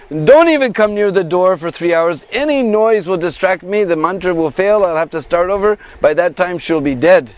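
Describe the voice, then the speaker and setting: A man's voice: sits high, dry recording, lightly acted. A man voicing a confident woman healer, a god in disguise, in a told devotional story. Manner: urgent, commanding.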